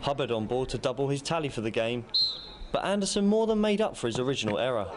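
A man's voice: medium pitch, lively intonation, fast talker. A man giving a scripted football match report as a sports reporter. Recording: very loud match crowd and outdoor noise. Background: football crowd outdoors.

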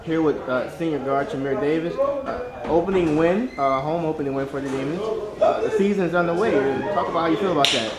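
A man asking postgame sports interview questions in a halting, hesitant manner. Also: fairly high pitch, expressive. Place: echoey basketball arena. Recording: echoey, loud crowd hubbub behind.

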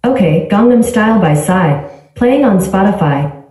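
A synthetic woman's voice from a smart speaker, giving even, polite, clipped replies. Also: medium pitch, unhurried. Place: small tiled bathroom. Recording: echoey bathroom, heard from a distance.

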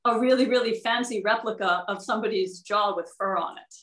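Woman asking a jokey question on a video call, bright sharp voice, roomy echo. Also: medium pitch, fairly quick. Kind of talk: casual joking question. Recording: echoey room over video call.

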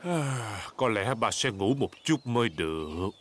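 A man's voice: lowish voice, very animated, unhurried, a bit bright, slight background noise. A man voicing a big, easygoing, jolly daddy pig in a children's cartoon dub. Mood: good-natured.

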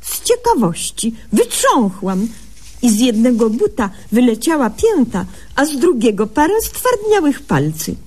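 Young lady doctor, high voice, swooping intonation, pompous, brisk, comic radio sketch. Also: huge pitch swings, darkish tone, slight background noise. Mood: mock-solemn. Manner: mock-literary, deliberately garbled diction. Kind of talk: humorous radio sketch acting.

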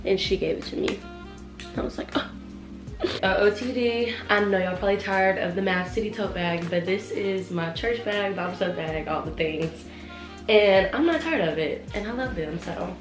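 A young woman in her twenties chats and rambles to her viewers, speaking close to a handheld camera. Her voice is slightly hoarse from a cold, and soft music plays faintly behind her. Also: mid-range voice, moderate pace. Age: 20s. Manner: chatty, rambling, talks straight to the viewers. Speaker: vlogger. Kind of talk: casual vlog chat. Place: small bedroom at home.

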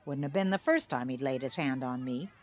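A woman's voice: a bass voice, fairly quick, a little mellow. An actress playing a bitter middle-aged small-town teacher and mother, giving wry, theatrical testimony in an audio drama. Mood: wry, resentful.

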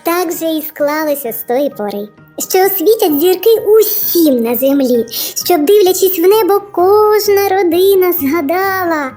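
A woman's voice: very high-pitched, expressive, a little slow, warm tone. A woman narrating a rhymed children's fairytale expressively, playfully turning aside to talk to little listeners. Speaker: storyteller. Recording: background music throughout.

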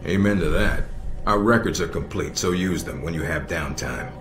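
An actor playing a calm, sardonic middle-aged spy handler in a video game, measured and dryly blunt. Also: male voice, low voice, background noise, close to an everyday voice. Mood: measured, instructive. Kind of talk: video game cutscene acting. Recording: roomy echo with music behind.

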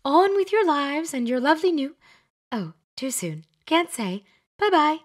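Woman putting on a shrill bossy witch matchmaker. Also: big pitch swings. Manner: bossy, brisk, chirpy. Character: fussy, chattering older female witch matchmaker. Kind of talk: audiobook character voice.

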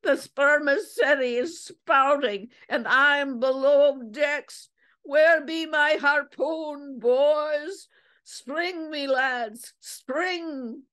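A wild, ragged old sailor , as a woman narrator puts him on, pitched well up and creaky. He rants and snarls in salty whaling talk, a frantic storybook character with a shrill edge. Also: takes it slowly, natural voice. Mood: frantic, shouting. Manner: salty nautical ranting, shrieking and snarling. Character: crazed, ragged old whaler, a bearded male escaped captive.

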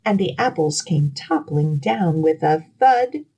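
A woman reads a children's picture book with lots of rise and fall. Her delivery is unhurried and dramatic, and her voice has a slightly husky edge. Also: sits low, expressive, dark tone. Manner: deliberate, dramatic storytelling. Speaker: children's librarian, storytime reader. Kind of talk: expressive picture-book reading.

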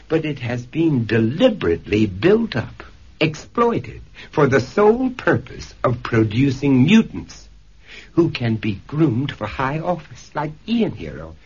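An actor playing a shrewd, sardonic, probing professor in a 1970s radio drama. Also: male voice, medium pitch, very animated, a little slow, darkish tone, slightly rough, full character voice. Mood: earnest. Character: shrewd, wry male professor investigating a conspiracy. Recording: dull vintage radio recording.

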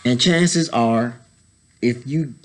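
A man in his thirties reading a children's picture book aloud, pausing to add casual explanatory asides for young listeners. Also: mid-range voice. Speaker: story time reader, educator. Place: indoors, school library.

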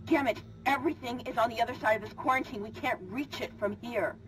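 A woman's voice: a little high, fast, far from the mic, noisy background, natural voice. A woman acting an anxious crew member on a stranded spaceship in a video game.

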